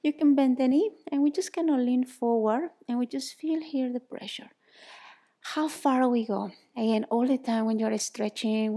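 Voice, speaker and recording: A woman from Mexico with a Mexican Spanish accent, in her thirties, guiding a yoga exercise in a bright indoor studio, soothing and encouraging, often dropping to a near whisper. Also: fairly high pitch, gravelly. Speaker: yoga teacher. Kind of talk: guided exercise instruction.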